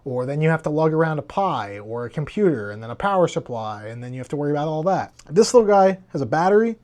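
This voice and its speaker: Higher-voiced man reviewing gadgets. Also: sing-song, fast, a little mellow. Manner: conversational, explanatory. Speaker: tech reviewer. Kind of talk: casual hands-on gadget review.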